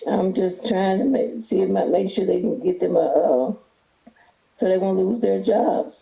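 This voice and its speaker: Gravelly woman in her fifties on a phone line. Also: mid-range voice, normal speed. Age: 50s. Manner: hesitant, soft-spoken, asks to repeat. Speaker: caller. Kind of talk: phone call to a talk show. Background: children.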